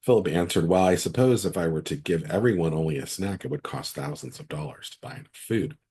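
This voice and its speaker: A deep-voiced man with a husky, rough throat from a cold talks through a Bible passage. He reads the scripture, then teaches in a casual, conversational way from his computer, often circling back to restart a thought. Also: animated, fairly quick, warm tone. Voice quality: raspy, very deep voice. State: hoarse from a cold. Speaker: pastor. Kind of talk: online midweek Bible study, reading scripture and teaching.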